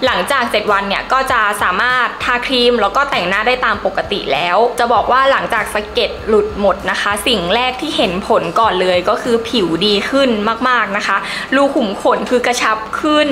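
A young woman talks like a beauty vlogger reviewing something for her viewers. Her voice is very bright and fairly high, and her delivery is chatty and emphatic. There is clear noise behind her. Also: fairly quick, few pauses. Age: young adult. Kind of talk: casual review to camera.

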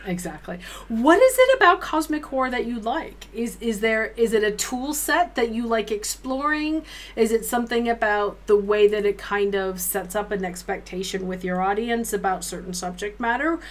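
A middle-aged woman interviewing casually as a co-host, talking in an animated, effusive way. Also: medium pitch. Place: small room at home, indoors.

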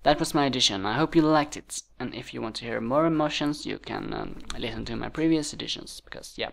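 Young man, eighteen, audition reads, flat and unemotional delivery, light voice. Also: medium pitch, fairly quick, vocal fry. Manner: reads each line twice, flat and unemotional. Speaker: voice actor auditioning. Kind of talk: casual audition reading.

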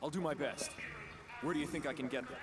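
An actor voicing an earnest, dutiful government agent in a video game, restrained in manner. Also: male voice, on the high side, fast, close to an everyday voice. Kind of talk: video game cutscene acting.

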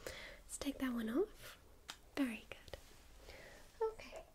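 A woman whispering softly in a gentle, reassuring ASMR medical roleplay, calmly talking a listener through a check-up step by step. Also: high-pitched, takes it slowly. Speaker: ASMR creator playing a first-aid medic.

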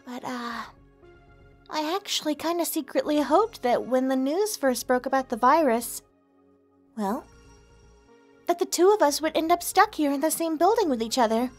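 A woman's voice: high-pitched, animated, moderate pace, long pauses, faint music behind. A woman playing a sweet, clingy, possessive young neighbor in love, coyly addressing the listener in an ASMR roleplay. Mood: lovestruck, possessive. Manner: coy, sugary, insinuating, hesitant.

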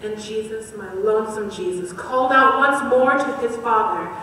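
A woman giving a dramatic religious poetry recitation in a chanting, preacher-like cadence, her voice swelling loud and then dropping to a hush. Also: a little high, moderate pace. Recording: echoey hall, distant mic, faint hiss.

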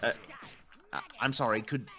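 A man's voice: mid-range voice, normal speed, pushed and bright, a little hiss or hum. A man voicing a haughty, godlike male villain who sneers at mortals, refined and scornful, in fan gameplay voice acting. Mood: incredulous.

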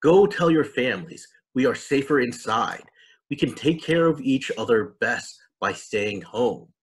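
A man's voice: mid-range voice, expressive, unhurried, slightly rough. A man voicing an earnest, caring young child in a storybook, explaining things. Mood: urgent, earnest.

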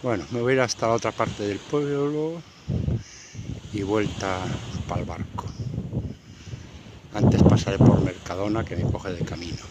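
A man's voice: fairly low pitch, a little slow, pauses often. An older man from Spain in his sixties chatting to viewers in a casual vlog as he works on his boat and walks the beach. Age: 60s. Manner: chatty, talks to viewers while walking and working. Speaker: retired sailor vlogger. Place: outdoors on a boat, beach and town. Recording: noisy outdoor camera audio.

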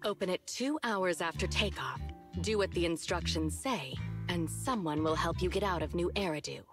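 A woman playing a cool, secretive conspirator in a video game, calm and businesslike. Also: on the low side, moderate pace, natural voice. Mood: cool, controlled. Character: cool, secretive woman giving covert instructions. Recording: music behind the voice.

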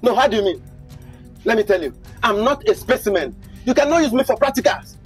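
Man playing a bitter husband, lively voice. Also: high-pitched, brisk, slightly rough, soft background music. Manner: bitter, shouting, rejecting. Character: ruined angry husband casting off his wife. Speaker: husband in a drama.

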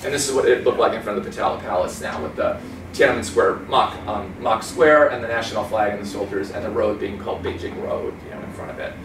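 A man's voice: lowish voice, fast, noisy background. A man in his forties giving an informal, chatty talk with slides, gesturing and mimicking voices as he tells stories. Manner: chatty and animated, gestures a lot, mimics accents. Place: small conference room. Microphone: far camera. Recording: roomy sound from a far camera.

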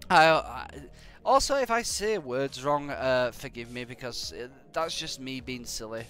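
A young man with a British accent and a croaky voice from hay fever, chatting to viewers in a rambling, jokey way while he plays a game live. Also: on the high side, a bit bright. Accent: British English. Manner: rambling and jokey, hums nonsense syllables. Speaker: game streamer.

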